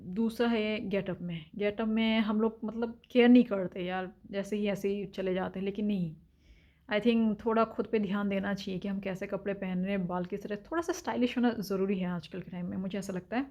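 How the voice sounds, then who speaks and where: A woman's voice: mid-range voice, fairly even intonation, fairly quick, a little mellow, lo-fi sound. A woman from India talking frankly in a casual vlog, with lots of filler sounds and opinions. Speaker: vlogger. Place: home in Denmark.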